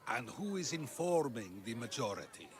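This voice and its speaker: An actor playing an earnest philosopher of ancient Greece in a video game, arguing deliberately like an orator. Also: male voice, mid-range voice, very animated, close to an everyday voice. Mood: probing. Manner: questioning, oratorical, deliberate argument. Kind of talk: video game cutscene acting.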